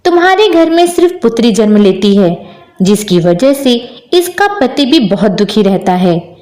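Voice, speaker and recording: A sly wandering holy man from a storybook, as a woman storyteller puts him on. The voice sits high. He makes grave pronouncements and soothes with confident reassurance. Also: very animated, measured pace, a little mellow, roomy sound. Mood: solemn. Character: holy man palm reader, grave, sly sadhu baba.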